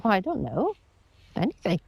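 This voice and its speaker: A woman's voice: medium pitch, huge pitch swings, moderate pace, gravelly, breathy edge, full character voice. An actress playing a wounded middle-aged mother in naturalistic film dialogue, pointed and dryly sarcastic. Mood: probing, concerned. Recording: dull sound, loud music behind. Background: instrumental music.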